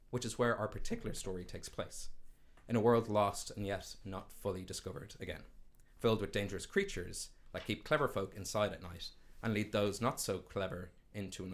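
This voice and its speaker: Low-voiced man narrating a fantasy game. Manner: storytelling, playful asides. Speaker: game master.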